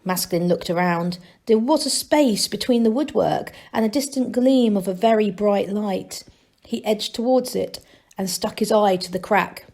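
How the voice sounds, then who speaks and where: A woman reading a children's novel aloud as a storyteller, in expressive scripted narration. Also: mid-range voice, a little slow.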